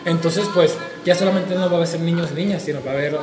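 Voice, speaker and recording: A man's voice: a little high, steady tone, fast. Hesitant man in an echoey room. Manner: hesitant, searching for words, joking. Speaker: co-host. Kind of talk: casual panel discussion. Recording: echoey room with music behind.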